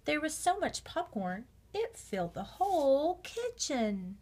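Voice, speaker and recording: A woman reading a children's storybook aloud with measured, expressive narration. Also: high-pitched. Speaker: storybook reader.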